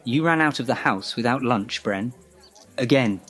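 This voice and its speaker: A man in his twenties playing a polite, well-off young man with a measured, proper way of talking, over soft music. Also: mid-range voice, natural voice. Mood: dry. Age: 20s. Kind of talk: audio drama acting.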